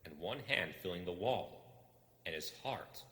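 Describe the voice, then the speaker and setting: A deep-voiced man narrates a tale with a lively, swinging intonation. His delivery is measured and dramatic, pausing deliberately between phrases. The sound is roomy, with a long reverberant tail. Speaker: audiobook reader. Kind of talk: expressive storybook narration.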